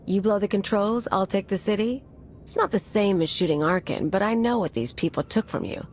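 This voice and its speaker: A woman's voice: mid-range voice, a little fast, darkish tone, slight background noise, lightly acted. A woman playing a brash, sardonic mercenary in a video game cutscene, slangy and casual about violence. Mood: sly, goading.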